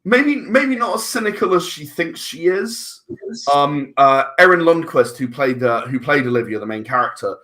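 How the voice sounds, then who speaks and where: Guy on a podcast, bright voice, kinda rambles and repeats himself looking for words. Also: fairly high pitch, animated. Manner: halting, rambling, repeats words as he searches for phrasing. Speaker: interview guest, filmmaker and audio drama writer. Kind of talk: casual podcast conversation.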